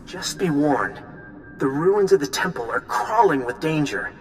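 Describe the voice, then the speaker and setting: A man's voice: fairly high pitch, big pitch swings, a little slow, soft background music. A man voicing an earnest, concerned ally in a video game, speaking with urgency. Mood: hopeful, urgent. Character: earnest, worried male ally bearing news.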